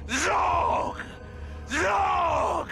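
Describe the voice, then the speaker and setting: A gloating orc necromancer from a fantasy video game. The voice is high and creaky, with slow, incantatory phrasing that taunts like a fanatic. Loud cinematic music plays behind it. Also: male voice, exaggerated voice. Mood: fanatical, commanding. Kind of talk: video game villain acting.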